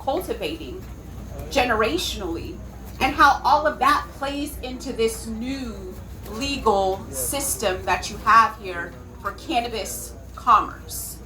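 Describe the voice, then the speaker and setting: A woman from California with an American accent, speaking emphatically and deliberately as a panellist at a public event. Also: a little high, lively intonation, pushed and bright, low-quality recording. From California, United States.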